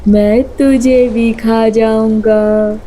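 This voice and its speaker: Lady doing a greedy lazy bird bad guy for a kids cartoon, super slow drawl, high pitched, gloating. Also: level tone, warm tone, slight background noise. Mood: menacing. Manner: gloating, menacing, drawn-out musing to himself. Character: lazy greedy predator bird, male, scheming bully. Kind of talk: Hindi children's cartoon voice acting.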